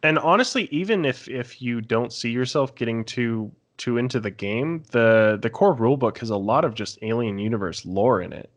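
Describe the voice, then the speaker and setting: A man's voice: mid-range voice, expressive, moderate pace, hardly any pauses. A man talking casually as a guest on a podcast discussion.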